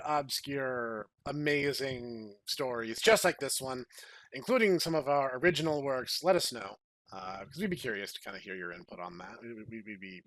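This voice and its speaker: A man's voice: medium pitch, fairly bright tone, no room sound. A man hosting a podcast in casual chat, with a jokey, playfully theatrical way of phrasing things. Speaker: podcast host.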